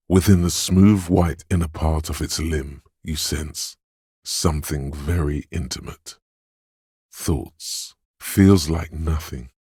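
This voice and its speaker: A man playing the grave, wry inner-voice narrator of a detective game, speaking in a measured, deliberate, literary way with dramatic pauses. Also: darkish tone, no echo, clean studio sound, exaggerated voice. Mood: hushed, wondering. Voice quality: very deep, gravelly, husky. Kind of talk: scripted game narration, second person.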